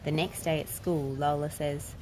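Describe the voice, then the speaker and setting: A woman's voice: low-pitched, fairly even intonation, moderate pace, a little mellow, a little hiss or hum. A woman reading a children's picture book aloud as narrator. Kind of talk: storybook read-aloud narration.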